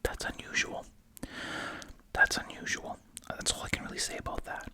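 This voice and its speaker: An ASMR host whispering close to the mic while chewing gum, reading aloud with casual, musing asides. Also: normal speed. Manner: informal, musing asides in a hushed voice. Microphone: close ASMR microphone.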